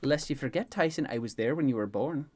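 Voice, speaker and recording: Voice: mid-range voice, lively intonation, fairly quick, darkish tone, lightly acted. A narrator voicing an older female caretaker, playful, bossy and fond of mock-scolding. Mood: amused, teasing. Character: teasing, affectionate older female caretaker of a young prince.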